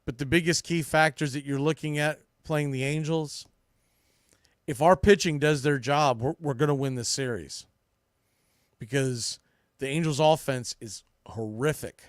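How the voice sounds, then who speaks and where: Guy doing baseball podcast takes, kinda higher voice, dry close sound, blunt and emphatic, repeats himself. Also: measured pace, no room sound. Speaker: sports podcast host. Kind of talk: opinionated baseball commentary, unscripted.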